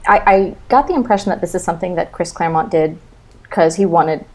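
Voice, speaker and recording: A woman's voice: lowish voice, fairly quick. A woman in her thirties, a podcast guest giving casual opinions on books in a wry, self-deprecating way. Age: 30s. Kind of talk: casual opinionated book chat.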